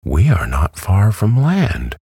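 A man voicing a prim, touchy yellow hen. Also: lowish voice, huge pitch swings, slow, husky, no room sound, clean studio sound, voice pushed up, full character voice. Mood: matter-of-fact. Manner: prim, easily offended, matter-of-fact. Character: fussy proper yellow hen, female.

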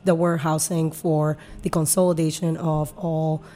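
Woman, 30s, deep mellow tone, earnest founder talking through her path. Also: level tone, moderate pace, a little hiss or hum. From Peru. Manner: earnest, explains at length with many fillers. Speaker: guest, company founder. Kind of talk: podcast interview.

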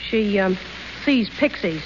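An actress in a 1930s radio play playing a sardonic household maid with a dry, deadpan, wisecracking delivery. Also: female voice, medium pitch, slow pace. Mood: wry. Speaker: Molly. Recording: dull old radio broadcast with hiss.

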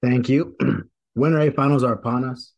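A young man from Wisconsin co-hosting a podcast, laid-back, with frequent ums and throat clearing. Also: medium pitch, steady tone, moderate pace, darkish tone, muffled recording. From Madison, Wisconsin. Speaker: podcast co-host. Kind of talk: casual announcements and chat.

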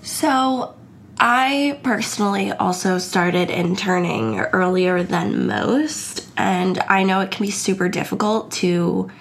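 A woman's voice: mid-range voice, takes it slowly, a little hiss or hum, rough recording. A young woman hosting an interview podcast, gushing and effusive with her guest. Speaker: podcast host.

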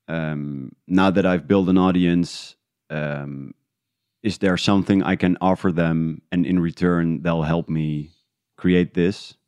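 A man talking casually and reflectively as an interview guest, feeling his way through thoughts with halting restarts. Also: low-pitched, a little mellow, clean tone, no echo, studio quality. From Netherlands. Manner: halting, thinking aloud in restarts. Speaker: interview guest, independent podcast creator.

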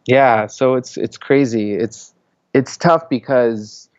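A man's voice: medium pitch, slow pace, dry recording, muffled recording. A man in his thirties, born in Saudi Arabia and raised in the US, speaks as a guest in a casual interview. He talks loosely and leans on "you know" and "like" a lot. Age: 30s. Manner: loose, filler-heavy talk with many "you know"s and "like"s. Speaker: interview guest, media creative.

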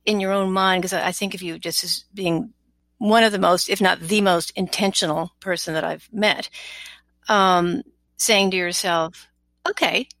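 A woman's voice: fairly low pitch, fairly bright tone, dry recording. A woman interviewing a guest in a conversational way, feeling out her questions as she thinks aloud. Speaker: podcast interviewer.

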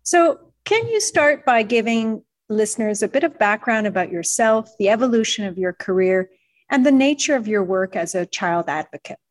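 A woman hosting a podcast, reading a formal, measured introduction. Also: mid-range voice, very animated. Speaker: podcast host, privacy commissioner.

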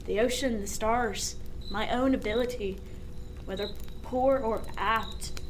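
A woman playing a wry, philosophical sailor in a radio drama, speaking in a measured, reflective way with frank skepticism. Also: on the high side, slight background noise, low-quality recording. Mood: frank, earnest.

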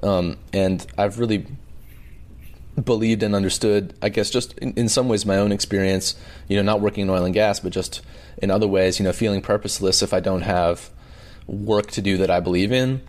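A man from Alberta with a Canadian accent, talking reflectively as an interview guest, halting as he searches for words. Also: fairly low pitch, steady tone, darkish tone. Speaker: documentary filmmaker, interview guest.

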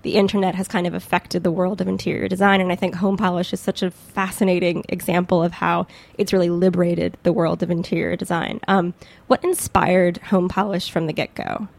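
A woman's voice: on the low side, little pitch movement, a little fast, gravelly, dry recording. An American woman hosting an interview podcast in a chatty, enthusiastic way. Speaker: podcast host.

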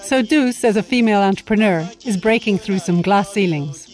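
A woman narrates a documentary feature from a script. She lays out facts in a measured, explanatory way at a middle pitch. Faint music plays beneath her voice. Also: darkish tone. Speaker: host and narrator.

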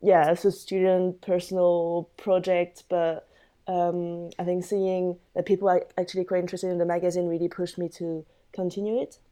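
A woman's voice: on the low side, flat delivery, moderate pace, warm tone. A woman in her twenties from France, guest editor answering interview questions casually with many hesitations. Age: 20s.

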